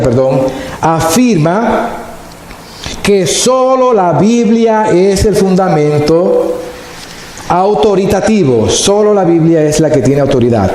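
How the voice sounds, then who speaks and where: Slow deliberate preacher guy, kinda high and mellow voice, big echoey church. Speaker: pastor. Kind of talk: sermon. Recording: very echoey hall, faint noise.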